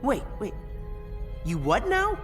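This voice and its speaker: Casting: suspicious ex-villain teenager for a game, sardonic, blunt, very expressive, reverberant sound. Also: male voice, extremely high pitch, takes it slowly, soft background music, exaggerated voice. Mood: taken aback. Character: suspicious sarcastic teenage tough-guy ex-villain. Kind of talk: video game cutscene voice acting.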